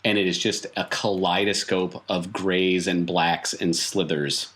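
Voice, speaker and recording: A man improvising narration as game master of a tabletop roleplaying game, halting as he thinks aloud and repeating words for emphasis. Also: low-pitched, fairly even intonation, a bit bright.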